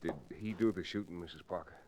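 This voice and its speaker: An actor playing a determined, plain-spoken American frontier lawyer hero in an old-time radio Western. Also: male voice, low voice, quick pace, warm tone, lightly acted. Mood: grave.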